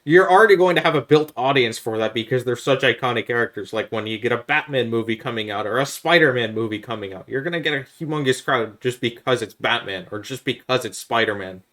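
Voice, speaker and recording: A man with a mid-pitched voice hosts a casual gaming podcast. He talks informally and lays out his points one at a time, in an explanatory way. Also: quick pace, hardly any pauses, a bit bright. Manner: explanatory, makes points step by step. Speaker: podcast host.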